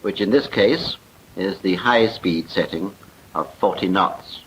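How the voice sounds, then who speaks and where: A man's voice: deep voice, a little slow. A man with a British accent giving a formal, precise scripted narration in the style of a 1940s military training film. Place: voice-over recording for a film. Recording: muffled, noisy 1940s film soundtrack.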